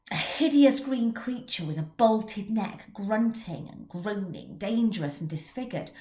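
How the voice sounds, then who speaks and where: A middle-aged woman, an author and teacher from England, giving a prepared talk in an expressive storytelling manner. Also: mid-range voice, reverberant. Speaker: children's author. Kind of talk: scripted talk about her book.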